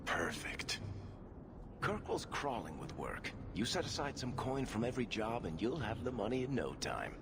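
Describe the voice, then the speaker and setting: An actor playing a persuasive male dwarf merchant who pitches deals, in a video game. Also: very animated, normal speed, noisy background. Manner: smooth, persuasive and conspiratorial. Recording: game audio with music underneath.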